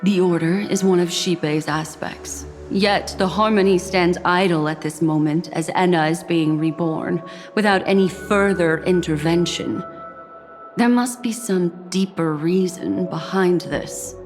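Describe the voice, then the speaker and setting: An enigmatic mystic woman from a video game played by an actress. She is composed and grave, speaking slowly in a slightly low voice. Long reverb trails behind it. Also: a little mellow, natural voice. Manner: deliberate, grave, poised. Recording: long reverb, music underneath.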